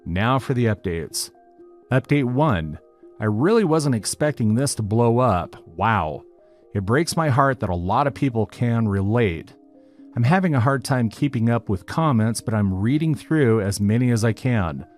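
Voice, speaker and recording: A man narrating a written online story in a steady, even reading style. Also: mid-range voice, measured pace. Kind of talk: scripted narration of a reddit post. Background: faint background music.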